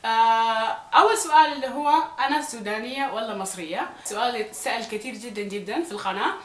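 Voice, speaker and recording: Fast-talking Sudanese woman, slightly hoarse. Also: a little high, a bit bright, reverberant. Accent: Sudanese Arabic with Egyptian traces. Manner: chatty, repeats phrases for emphasis. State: slightly hoarse from recent illness. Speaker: YouTuber. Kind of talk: casual vlog talk to viewers.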